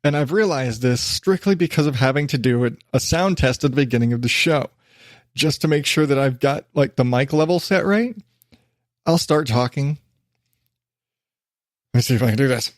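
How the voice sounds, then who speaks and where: A man's voice: medium pitch, normal speed, pauses often, no room sound, studio quality. A man hosting a rambling solo podcast, chatty and self-deprecating, drifting from one digression to the next. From western United States. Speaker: podcast host. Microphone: studio microphone.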